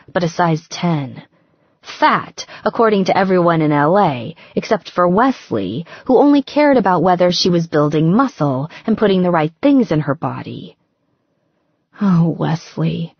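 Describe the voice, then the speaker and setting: A woman reading romance fiction as an audiobook narrator, with expressive, dramatic phrasing. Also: fairly low pitch, breathy edge, no room sound, highs cut off.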